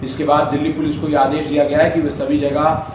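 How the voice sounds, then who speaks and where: A man's voice: on the high side, little pitch movement, brisk. A man presenting a news report with commentary in an emphatic way, repeating his points. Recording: echoey, distant mic, noisy background.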